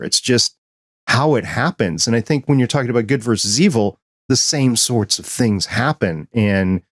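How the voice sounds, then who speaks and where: A man's voice: medium pitch, no room sound. Male podcast co-host, American accent. Mid-range voice, conversational commentary, dry studio-like sound. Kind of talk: casual discussion and commentary.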